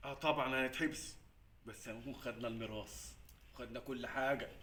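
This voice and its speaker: An actor playing a blunt, greedy scheming brother in a stage farce, with an Egyptian accent. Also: male voice, medium pitch, fairly even intonation, fast talker, sharp tone, close to an everyday voice. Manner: blunt, insulting. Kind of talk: comic stage dialogue. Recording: roomy stage sound with faint noise.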